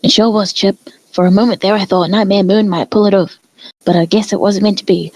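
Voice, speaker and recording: Woman as a chatty husky pony sportscaster. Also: medium pitch, steady tone, brisk, a little mellow, dry recording, lightly acted. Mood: breezy, announcing. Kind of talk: mock sports commentary.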